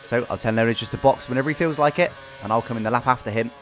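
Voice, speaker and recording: A man's voice: mid-range voice, racing, a bit bright, soft background music. A man giving casual, explanatory commentary over his own racing game race, recapping as he goes.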